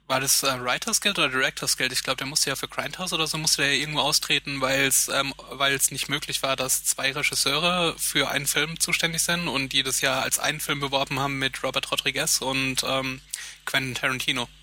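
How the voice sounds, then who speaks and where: A man co-hosting a casual podcast, talking in a very bright, clear tone at an ordinary pitch. He rambles a little, fills pauses with hesitations and laughs easily. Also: little pitch movement. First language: German. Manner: chatty, hesitant with many fillers, quick laughs. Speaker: podcast co-host. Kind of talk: casual chat.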